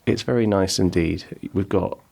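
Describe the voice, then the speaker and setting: A man's voice: low-pitched, normal speed, vocal fry, warm tone, slightly rough. A middle-aged man hosting a podcast, chatting casually and rambling with self-deprecating humor from his small backyard pub. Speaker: podcast host. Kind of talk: casual chat. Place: small home-built pub in a garden outbuilding.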